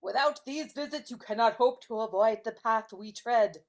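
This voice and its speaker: A woman playing the wailing ghost of a greedy dead moneylender in a live dramatic reading, with theatrical, drawn-out delivery. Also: on the high side, natural voice. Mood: wailing, ominous.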